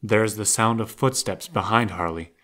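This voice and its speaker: A man's voice: fairly low pitch, normal speed, fairly bright tone. A man narrating a scripted audio drama in the present tense, scene by scene.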